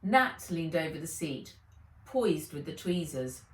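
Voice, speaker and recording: Expressive woman storyteller in an echoey room. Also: low-pitched, slow pace. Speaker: author reading own children's book. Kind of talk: dramatised audiobook narration. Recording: roomy, echoey, distant microphone.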